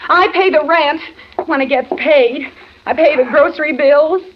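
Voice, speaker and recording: An actress playing a sarcastic, fed-up working wife who scolds and accuses, in a 1940s radio thriller. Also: female voice, high-pitched, normal speed, lightly acted. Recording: muffled, noisy old radio recording.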